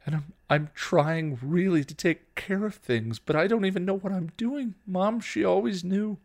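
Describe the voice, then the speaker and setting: A man's voice: high-pitched, normal speed, pitched above the performer's own voice. A man voicing a young woman, an emotional, protective older sister who scolds, pleads and stammers in a dramatic story reading. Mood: anguished, breaking down.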